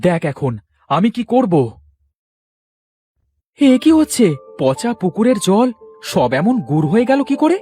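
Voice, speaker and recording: A man voicing an excitable village man in a children's cartoon folk tale. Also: high-pitched, measured pace, long pauses, soft background music. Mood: dismayed, vengeful. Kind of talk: children's cartoon story acting.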